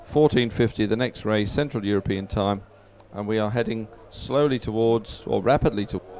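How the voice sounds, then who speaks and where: A man's voice: lowish voice, normal speed, a little mellow, a little hiss or hum. A man giving live, unscripted sports commentary in a chatty, hesitant, explanatory way.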